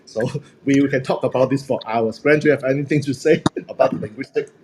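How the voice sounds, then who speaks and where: A man's voice: mid-range voice, fast talker, background noise. Upbeat man, first language Cantonese. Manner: upbeat, self-deprecating, laughs often. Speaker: host and speaking coach. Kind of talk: casual group chat.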